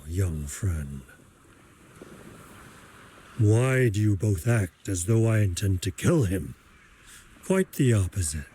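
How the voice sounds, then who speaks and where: An actor playing a suave, scheming video game villain, with a deliberate, formal, taunting and theatrical delivery. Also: male voice, lowish voice, warm tone, a little hiss or hum. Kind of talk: scripted video game voice acting.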